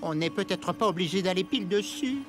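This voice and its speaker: A man's voice: sits high, brisk, natural voice. A man voicing a timid, worrying, reluctant sidekick in a children's cartoon, hesitant and fretful. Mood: worried. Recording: music behind the voice.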